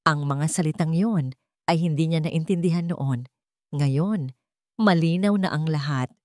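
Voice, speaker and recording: Woman, Filipino accent, lower-pitched voice, dramatic story narration, deliberate emotive phrasing, close dry recording. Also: warm tone, no echo.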